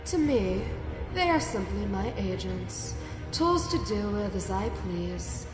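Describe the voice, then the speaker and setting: A woman's voice: medium pitch, sing-song, slow pace. A woman playing a cold, manipulative military commander in a sci-fi drama, speaking with controlled authority. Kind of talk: dramatic sci-fi audio acting. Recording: loud music bed over the voice.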